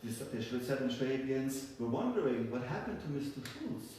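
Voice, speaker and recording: A man's voice: medium pitch, very animated, darkish tone. Echoey, distant male storyteller. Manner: halting, repeats words and phrases. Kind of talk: live folk tale telling to a group. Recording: echoey room, far from mic, faint noise.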